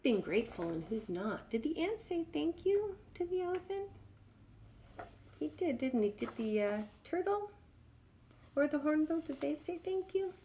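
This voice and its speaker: A woman's voice: sits high, big pitch swings, unhurried, gravelly, warm tone, slight background noise. A woman reading a children's storybook aloud expressively. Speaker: storybook reader.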